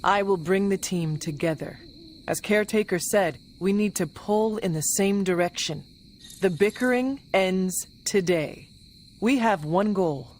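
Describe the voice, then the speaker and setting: A woman's voice: mid-range voice, darkish tone, slight background noise, close to an everyday voice. Woman playing a solemn, resolute young hero leader. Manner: solemn, measured, formal. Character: resolute young hero leading a supernatural team. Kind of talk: video game cutscene acting.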